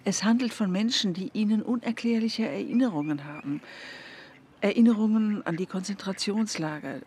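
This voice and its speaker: An actress playing an earnest, intense woman with spiritual convictions in a radio drama, insistent and at times hushed. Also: medium pitch, moderate pace, slightly rough, natural voice. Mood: earnest, confiding. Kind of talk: naturalistic radio drama dialogue.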